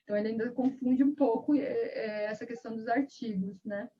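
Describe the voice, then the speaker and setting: A woman's voice: fairly high pitch, fairly even intonation, brisk, lo-fi sound, a little hiss or hum. A woman guest on a video call interview, speaking earnestly about raising a bilingual child, with many fillers and restarts.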